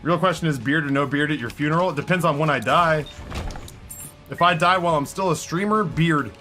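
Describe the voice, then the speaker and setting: Hoarse, rambling male streamer. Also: a little high, fairly quick, pushed and bright, faint music behind. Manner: rambling, self-mocking. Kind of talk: live gameplay commentary. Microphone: headset with headphones.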